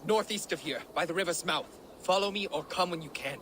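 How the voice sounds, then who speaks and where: An actor playing a cocky, theatrical teenage Danish raider captain in a video game, hot-headed and boastful. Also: male voice, fairly high pitch, a little fast, lightly acted. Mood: eager. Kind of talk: video game cutscene acting. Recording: noisy game ambience behind.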